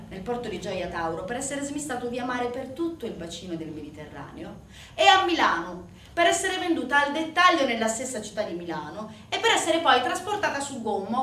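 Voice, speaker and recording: A woman's voice: fairly high pitch, sharp tone. A woman performing a theatrical narrative monologue to an audience, speaking in an emphatic, declamatory, rhetorical manner. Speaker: stage actress performing a monologue. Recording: roomy, distant mic, faint noise.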